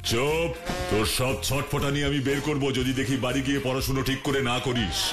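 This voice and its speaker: Man doing a firm stern cartoon hero, normal mid pitch, music underneath. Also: fairly even intonation, a little fast, close to an everyday voice. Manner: firm, scolding, sober. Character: stern, calm adult strongman hero. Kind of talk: Bengali cartoon dubbing.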